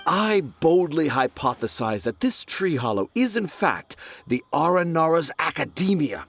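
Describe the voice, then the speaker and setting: A man's voice: fairly high pitch, big pitch swings, a little slow, gravelly, fairly bright tone, slight background noise, full character voice. A man voicing a pompous male writer in a game, grandiloquent and theatrical. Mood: grandiose. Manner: grandiloquent, self-satisfied, theatrical. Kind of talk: game voice acting.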